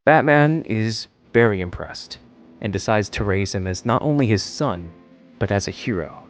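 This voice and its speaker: A man's voice: on the low side, expressive, moderate pace, faint music behind. A man reading scripted narration for a fan video essay.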